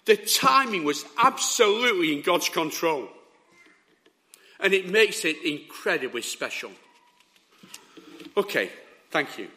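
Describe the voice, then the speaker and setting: Male preacher, sore-throated and hoarse, bright edgy tone, emphatic delivery. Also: high voice, very animated, a little slow, long pauses. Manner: emphatic, checks in with the listeners. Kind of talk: sermon.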